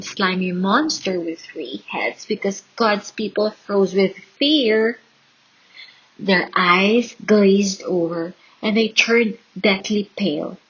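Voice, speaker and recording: A woman reading a children's Bible story aloud in an expressive, dramatic and deliberate storytelling style. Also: medium pitch, slightly rough, lo-fi sound. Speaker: storybook reader.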